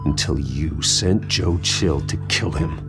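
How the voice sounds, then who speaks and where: A man's voice: very deep voice, slow pace, heavily acted. An actor playing a stern, brooding wealthy man, bitter and righteous, speaking in terse, cold phrases. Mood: cold, accusing. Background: loud dramatic music.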